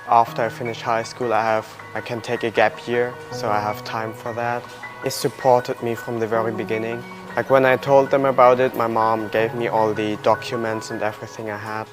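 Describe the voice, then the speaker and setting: Young German man, even voice over music. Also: medium pitch, normal speed. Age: early 20s. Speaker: interviewee, adoptee searching for birth family. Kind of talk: personal storytelling in an interview. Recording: loud music under the voice.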